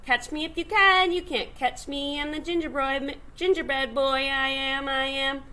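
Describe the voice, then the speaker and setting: A woman's voice: high-pitched, steady tone, moderate pace, sharp tone. A woman voicing a cheeky, boastful little gingerbread boy in a storybook, chanting his taunts in a sing-song way. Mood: proud taunting.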